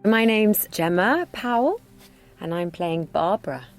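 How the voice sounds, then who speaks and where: A woman's voice: mid-range voice, expressive, a little slow, soft background music. A woman, an actress chatting casually and a little hesitantly about her role. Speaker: actress being interviewed. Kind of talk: casual behind-the-scenes chat.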